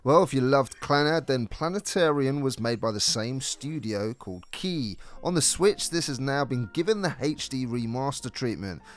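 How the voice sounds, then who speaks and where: A man's voice: medium pitch, animated, moderate pace, few pauses. A man reading a scripted video game review as a co-host. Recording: music behind the voice. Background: background music.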